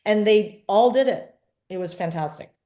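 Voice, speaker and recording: A woman's voice: mid-range voice, moderate pace, dark tone. Woman in her sixties, chatty storyteller. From born in Trinidad, grew up in Vancouver. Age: 60s. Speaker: filmmaker guest. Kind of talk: casual interview chat.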